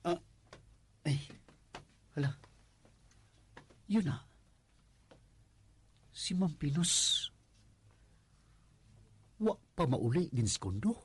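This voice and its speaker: A man with a Cebuano accent playing a sly, lustful, deferential guard in a radio drama, stammering and muttering to himself in a husky, breathy voice. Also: on the high side, very animated, drawn-out words, pauses often, heavily acted. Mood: sleepy, musing. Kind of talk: Filipino radio drama acting.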